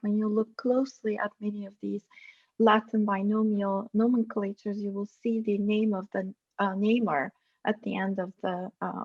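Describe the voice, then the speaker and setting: A woman's voice: mid-range voice, lo-fi sound. A woman on an academic panel, speaking thoughtfully and a little self-consciously over a video call.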